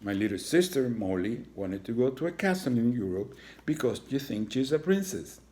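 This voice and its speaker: A man's voice: mid-range voice, very animated, moderate pace, dark tone, pitched above the performer's own voice, natural voice. A man voicing a shy, nervous young lizard schoolboy in a children's storybook, speaking earnestly. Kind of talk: children's storybook read-aloud.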